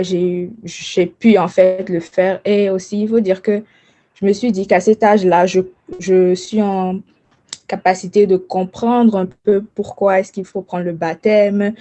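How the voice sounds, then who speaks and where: A woman's voice: on the low side, mellow. Casual young woman from Benin. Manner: casual, informal, with "genre" and "ok". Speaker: adult baptism candidate. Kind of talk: personal testimony.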